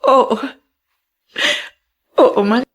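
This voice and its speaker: An actress playing a gravely ill, self-sacrificing woman in a Filipino radio melodrama, with a breathy, husky voice. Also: very slow. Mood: tearful gratitude.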